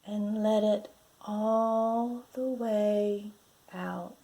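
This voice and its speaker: A woman reading a picture book aloud to young children, with deliberate, gently instructive delivery and guided breathing prompts. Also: medium pitch, flat delivery, poor audio. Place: at home.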